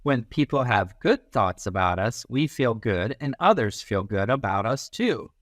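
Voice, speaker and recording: Animated man reading aloud to children. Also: mid-range voice, no echo, clean studio sound. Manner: instructive, with drawn-out emphasis on key phrases. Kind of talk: expressive children's read-aloud.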